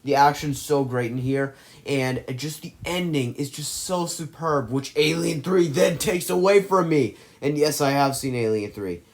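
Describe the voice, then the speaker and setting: A man's voice: fairly high pitch, unhurried. Gushing man ranking favorite films. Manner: gushing, effusive praise; rambling, self-correcting. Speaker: movie list vlogger. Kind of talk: casual movie ranking commentary.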